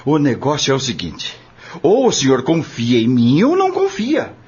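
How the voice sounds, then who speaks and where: A man acting as a wary, aggrieved farmhand in an audio drama. His very raspy, mid-pitched voice moves up and down freely as he questions things plainly, proud yet hesitant. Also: huge pitch swings, normal speed, a little mellow, dull audio, exaggerated voice. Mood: firm. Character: wary, aggrieved dismissed farm worker, proud but hesitant.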